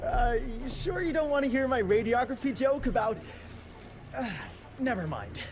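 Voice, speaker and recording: Flustered, swooping nerdy inventor. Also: male voice, very high-pitched, big pitch swings, moderate pace, faint music behind, heavily acted. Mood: lecturing. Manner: scatterbrained, jargon-heavy, muttering. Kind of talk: video game cutscene acting.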